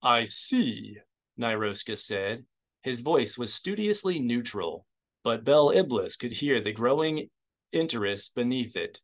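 Male audiobook narrator for scripted fiction, with a medium-pitched voice. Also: expressive, a little slow.

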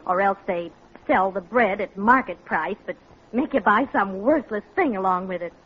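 An actress playing a sensible, principled American housewife, earnest and gently firm, in 1940s radio comedy style. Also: female voice, medium pitch, normal speed, slightly rough, close to an everyday voice. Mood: indignant. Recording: muffled, noisy old radio recording.

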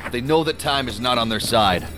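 A man's voice: mid-range voice, a little fast, over loud music, close to an everyday voice. A man playing a jaded, irritable federal investigator in an audio drama, blunt and sardonic. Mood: grave, explaining.

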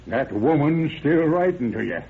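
An actor playing a stern, annoyed middle-aged small-town father, gruff and curt, in 1930s radio drama style. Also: very animated, a little slow, heavily acted. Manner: gruff, curt, folksy speech. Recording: muffled, noisy old radio recording with rumble.

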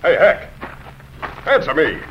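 An actor playing a rough, irritable bandit leader in an old-time radio western. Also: male voice, fairly high pitch, huge pitch swings, takes it slowly, background noise, heavily acted. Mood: alarmed, demanding. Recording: muffled vintage radio recording.

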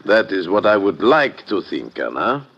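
A man's voice: low-pitched, big pitch swings, measured pace, fairly bright tone, slightly rough, heavily acted. A man playing a formal, courteous doctor, in radio drama style. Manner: formal, polite. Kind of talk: 1950s radio drama acting. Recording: dull vintage radio broadcast.

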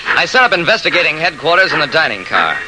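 An actor playing a brisk, methodical railroad detective who narrates his case in an emphatic old-time radio mystery style. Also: fairly high pitch, sing-song. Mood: matter-of-fact. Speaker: narrator and lead investigator. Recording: noisy old radio drama recording.

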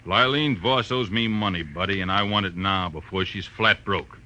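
Menacing heavyset crook, deep thick voice, extremely sharp attack, vintage radio style. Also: male voice, moderate pace. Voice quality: thick, soft-bodied voice. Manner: bullying, terse, calls people buddy. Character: menacing, soft, fat, bald male crook with gun. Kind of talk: 1940s radio acting.

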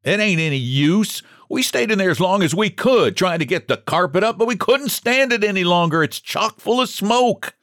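A man's voice: on the high side, sing-song, normal speed, hardly any pauses, slightly rough, no room sound, clean studio sound, pitched above the performer's own voice. A man voicing an exasperated, bossy village man who speaks urgently in plain rustic phrases. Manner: shouted commands in plain rustic speech.

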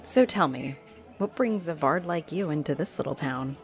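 Low-voiced flirty halfling orc played by a woman. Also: lively intonation, normal speed, soft background music. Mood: playful, flirty. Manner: teasing, chuckling, coy. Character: friendly, flirty, playful female halfling orc adventurer. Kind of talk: roleplay audio addressed to the listener. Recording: noticeable noise behind the voice.

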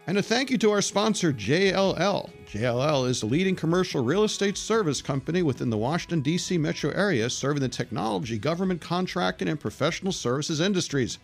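A man hosting a business radio show, reflecting on his guest's answers and reading sponsor thanks. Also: a little high. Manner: reflective, summarizing. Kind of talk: interview and sponsor reads.

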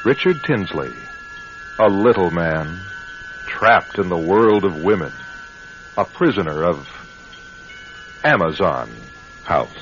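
A man announcing a radio drama in a measured, theatrical, scripted style. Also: sits low, animated, loud music behind the voice. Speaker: announcer, writer and director. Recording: dull lo-fi radio recording.

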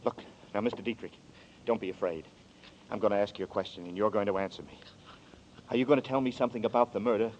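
A man's voice: fairly low pitch, fairly even intonation, fast, darkish tone, slight background noise, natural voice. A man playing a desperate accused murderer. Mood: coaxing. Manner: tense, urgent, brooding narration. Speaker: radio drama lead and narrator. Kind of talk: 1940s radio acting. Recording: dull lo-fi 1940s radio broadcast.

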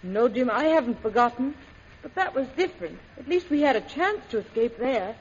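A woman plays a young heroine in a vintage radio adventure. Her high voice is earnest, anxious and despairing, on a muffled, faintly hissy recording. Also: expressive, a little fast, lightly acted. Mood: worried. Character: Lin Chalmers, worried despairing young heroine. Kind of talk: 1930s radio serial acting.

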